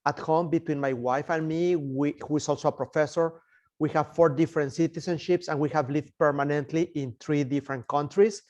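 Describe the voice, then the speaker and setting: A man's voice: on the high side, steady tone, brisk, darkish tone, muffled recording. A man from Mexico, an associate professor introducing himself in a friendly, fluent way. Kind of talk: self-introduction on a webinar.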